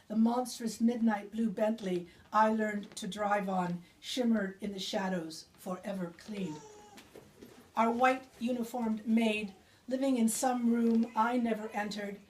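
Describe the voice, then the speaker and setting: A woman's voice: a little high, darkish tone. A woman poet speaking and reading her own work live to a small gathering of listeners. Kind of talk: live poetry reading with informal remarks to audience. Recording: distant mic with background noise.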